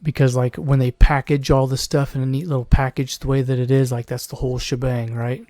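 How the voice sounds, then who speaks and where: A man hosting a solo commentary podcast, losing his voice, rambling with restarts and clearing his throat. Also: mid-range voice, little pitch movement, brisk, dark tone, no echo. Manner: mocking put-on voices in quoted asides. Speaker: podcast host.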